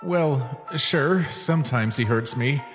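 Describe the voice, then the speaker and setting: A man's voice: medium pitch, takes it slowly, natural voice. A man playing a naive, timid young monster test subject, hesitant and childlike, in a dramatic comic dub. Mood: nervous, defensive. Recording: long reverb, music behind.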